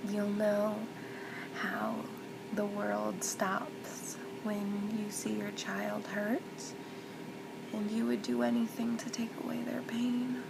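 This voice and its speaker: A woman playing a gentle, comforting mother in a soft-spoken ASMR roleplay, soothing and tender, often slipping toward a whisper. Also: flat delivery, slow, vocal fry. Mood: tender, reassuring. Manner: soothing, tender, reassuring, hushed with whispery stretches. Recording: loud background noise with low rumble.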